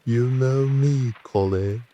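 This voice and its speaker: Roguish teasing fella, real slow wry drawl, old radio drama. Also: male voice, medium pitch, warm tone, muffled recording. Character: roguish, teasing, easygoing man on telephone. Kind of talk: vintage radio drama acting.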